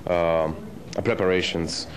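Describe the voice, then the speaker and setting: A man in his thirties from Romania with a Romanian accent, a professional opera singer answering interview questions hesitantly with many pauses. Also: low voice, steady tone. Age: 30s. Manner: hesitant, many filler pauses, light laughs. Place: opera rehearsal at a music school. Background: loud music from the rehearsal.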